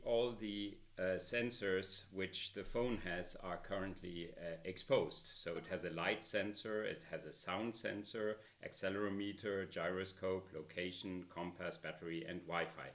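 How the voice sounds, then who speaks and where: A man with a loud voice presenting a smartphone app in a casual demo, with many filler pauses. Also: deep voice.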